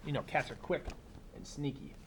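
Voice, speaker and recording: A man teaching young children, reading a picture book aloud expressively and pausing for simple explanatory asides. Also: medium pitch, animated, slight background noise. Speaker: elementary school teacher.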